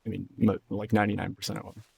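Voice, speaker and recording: A tired, hoarse man streaming a game, rambling in loose casual talk and swearing freely. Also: fairly low pitch, flat delivery, racing. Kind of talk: casual live-stream chat.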